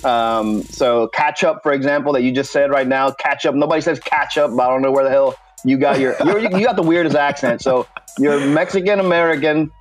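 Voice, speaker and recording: A man's voice: medium pitch, brisk, soft background music. A man hosting a podcast, bantering casually about sports and funny stories in a teasing, mildly profane way. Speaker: podcast host.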